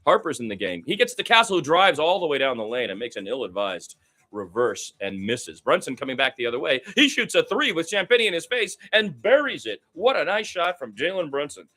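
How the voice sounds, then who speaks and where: Bright, forceful man calling basketball play-by-play. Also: fairly high pitch. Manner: excitable in fast play, conversational between plays. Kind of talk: live radio play-by-play commentary.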